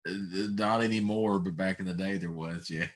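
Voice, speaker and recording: A man's voice: sits low, steady tone, normal speed. A middle-aged man in casual, informal conversation as a talk show co-host. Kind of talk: casual chat.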